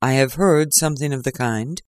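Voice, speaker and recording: A reader voicing a measured, dryly ironic male detective in a storybook reading. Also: mid-range voice, no echo, studio quality, close to an everyday voice.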